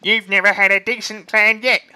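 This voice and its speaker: A man's voice: high voice, level tone, moderate pace, sharp tone, smooth, no echo, voice pushed up. A man voicing a short, pot-bellied, bad-tempered farmer who snarls and scoffs, in a storybook reading. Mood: nasty. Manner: snarling, scornful.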